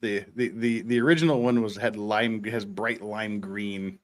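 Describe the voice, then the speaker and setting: A man's voice: lowish voice, fairly even intonation, unhurried. A man hosting a casual livestream, rambling and repeating words as he thinks aloud. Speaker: host of an online show.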